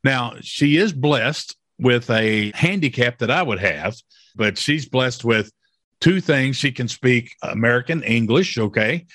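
A man's voice: mid-range voice, animated, unhurried, fairly bright tone, dry recording, clean studio sound. A man hosting an interview who talks emphatically, repeats his points and often checks in with "okay?". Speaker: interview host. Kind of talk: promotional interview.

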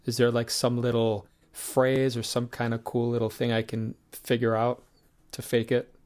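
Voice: medium pitch, monotone, moderate pace, a little mellow. An interview host who asks questions in a loose, chatty way, full of filler words. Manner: loose, chatty, many "you know" and "like" fillers. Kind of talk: casual interview chat.

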